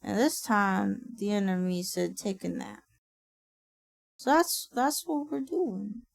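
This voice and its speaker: A woman streaming from her desk, chatting casually. She sounds tired and a little raspy, swears freely and laughs often. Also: mid-range voice, slow pace, no room sound, clean studio sound. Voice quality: raspy when sleepy. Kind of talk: casual livestream chat.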